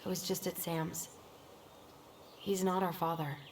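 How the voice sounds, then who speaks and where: A woman's voice: fairly low pitch, little pitch movement, unhurried, a little mellow, lightly acted. A woman playing a caring, earnest young twin sister in a video game, with an American accent and naturalistic delivery. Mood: earnest, serious. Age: young adult. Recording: game audio with clear background noise.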